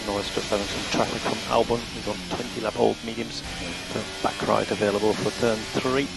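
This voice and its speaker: A man working as a race engineer, giving measured, matter-of-fact instructions over team radio and repeating the key ones. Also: on the low side, flat delivery, gravelly, loud music behind the voice, low-quality recording. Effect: team radio transmission. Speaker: Formula One race engineer. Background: vehicle and engine noise.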